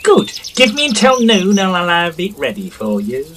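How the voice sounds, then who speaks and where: A man acting a practical, obliging village smith in an audio drama, businesslike and measured. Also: sits high, wildly swooping pitch, fairly bright tone, slight background noise, heavily acted.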